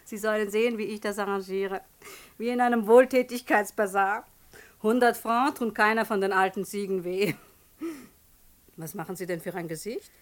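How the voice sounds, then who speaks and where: An actress in a 1960s German radio comedy playing a bossy, vivacious married society lady with a brisk, gushing manner. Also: mid-range voice, fairly even intonation, close to an everyday voice. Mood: commanding.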